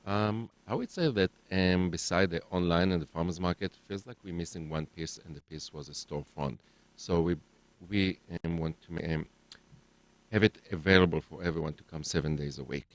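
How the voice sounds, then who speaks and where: Deep-voiced man, hesitant. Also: normal speed, dry recording, poor audio. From Israel. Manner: brief, hesitant answers. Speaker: co-founder guest. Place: radio studio.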